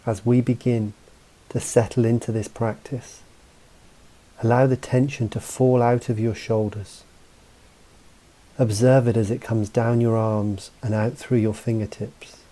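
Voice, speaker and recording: A man leads a guided mindfulness meditation in a very dark, soft-edged and relaxed voice. His delivery is calm and measured, leaving space between phrases as he gently instructs. The pitch sits in an ordinary male range. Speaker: meditation guide and mental coach.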